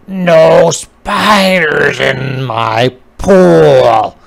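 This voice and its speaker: A man voicing a grumpy, territorial male frog with a croaky, scratchy voice for a storybook. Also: fairly high pitch, very slow, pitched above the performer's own voice, full character voice. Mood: gruff, commanding.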